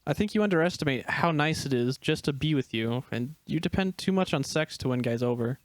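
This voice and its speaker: A man playing an earnest, gentle young gray wolf, shy and devoted, in a dramatic reading of a screenplay. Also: mid-range voice, expressive, fairly quick, no echo, natural voice. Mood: earnest, confessional.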